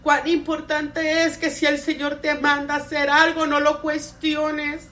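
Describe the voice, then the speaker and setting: A middle-aged woman preacher, a native Spanish speaker, with a bright, forceful voice on the high side. She gives her testimony earnestly, repeating phrases for emphasis. The mic sounds a little far away, with faint noise behind her. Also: monotone, unhurried. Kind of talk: sermon with personal testimony.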